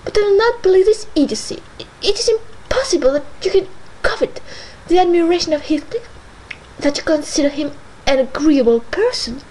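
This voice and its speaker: Brief: impatient, scolding mistress, voiced by a woman reader, high and forceful. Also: lively intonation, fairly quick, a little hiss or hum, low-quality recording. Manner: emphatic, scolding. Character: proud young mistress, sharp-tongued, impatient.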